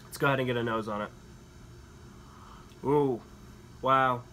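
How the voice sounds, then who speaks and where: A young man casually reviewing beer at home, talking through each sip with relaxed, enthusiastic comments and frequent fillers, filmed in a small wood-paneled room. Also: medium pitch, a little slow, a little hiss or hum.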